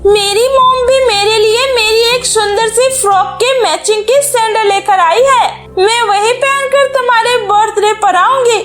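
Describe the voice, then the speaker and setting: A woman's voice: very high voice, a little slow, hardly any pauses, over music. A woman voicing a snobbish, boastful rich schoolgirl in a cartoon story, gloating and taunting.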